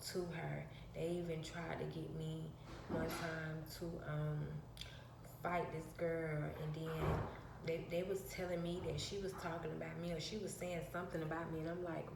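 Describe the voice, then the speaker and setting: A woman with a soft, reserved voice, casually telling a rambling story to her phone camera and repeating her phrases. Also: low voice, gravelly, echoey room, noisy background. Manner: rambling, repeats phrases, slips into quoted dialogue. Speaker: vlogger.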